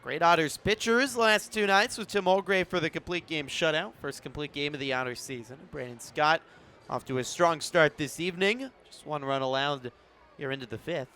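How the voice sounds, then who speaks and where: Higher-pitched man calling baseball play-by-play. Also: normal speed, bright, forceful tone. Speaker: baseball radio play-by-play announcer. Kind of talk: live sports commentary. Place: broadcast position at an outdoor ballpark in the evening.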